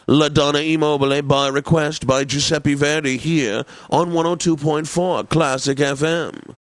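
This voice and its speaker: An actor playing a pompous, genteel classical radio DJ in a game, announcing with theatrical, drawn-out phrasing. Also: male voice, medium pitch, dry recording, close to an everyday voice.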